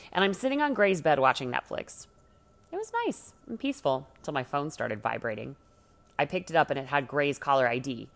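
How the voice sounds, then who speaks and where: A woman reading a first-person teenage story aloud from a script, with dramatic, expressive delivery. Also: deep voice. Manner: dramatic, acts out the dialogue. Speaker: story reader.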